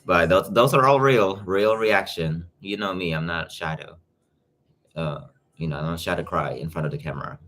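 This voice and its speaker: Young male reactor, Filipino accent, deep voice, casual livestream chatter. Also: normal speed, a bit bright. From Philippines. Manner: chatty, greets viewers by name. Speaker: YouTube reactor.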